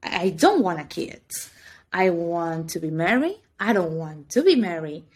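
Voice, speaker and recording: Animated woman speaking non-native English. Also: fairly low pitch, unhurried, husky. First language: Spanish. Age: 30s. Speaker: podcast co-host. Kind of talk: casual chat.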